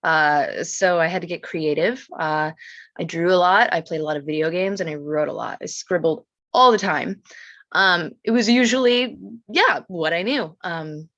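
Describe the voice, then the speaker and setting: A woman's voice: on the low side, moderate pace, a bit bright, no echo, lo-fi sound. An American woman from Oregon talking casually about herself as a podcast guest. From Oregon, United States. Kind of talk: casual self-introduction.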